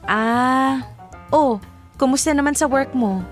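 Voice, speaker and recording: High voiced sweet devoted girlfriend, filipino radio drama, very expressive, soft music under. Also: takes it slowly. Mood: cheerful, sweet. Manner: expressive, affectionate. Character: devoted, cheerful young girlfriend named Monet.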